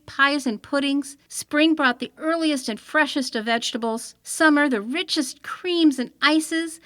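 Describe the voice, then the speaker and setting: A woman reading an old-fashioned children's story aloud in an expressive storytelling manner. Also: sits high, no room sound, clean studio sound. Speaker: storybook reader.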